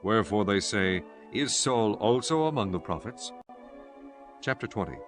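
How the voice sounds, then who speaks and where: Casting: male narrator for dramatized scripture, low voice, solemn storytelling, faint music bed. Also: expressive, moderate pace.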